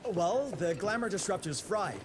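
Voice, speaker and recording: An actor playing an eager, flustered young genius engineer who stammers nervously in a video game. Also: male voice, sits high, very animated, normal speed, slight background noise. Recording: game cutscene with music score.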